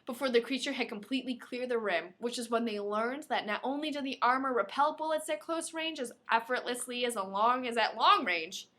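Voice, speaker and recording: A woman co-hosting a podcast, reading a novel aloud in an animated, playful way and breaking off for casual banter. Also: on the high side, fairly quick, few pauses. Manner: playful, dramatic, often breaks off to comment.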